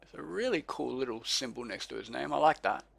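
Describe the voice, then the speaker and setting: A man's voice: medium pitch, lively intonation, fairly quick, creaky, a bit bright, slightly rough, dry recording. A man with an Australian accent, co-hosting a casual podcast in a laid-back way, chuckling and stumbling over names as he reads them out. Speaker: podcast co-host. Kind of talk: casual chat.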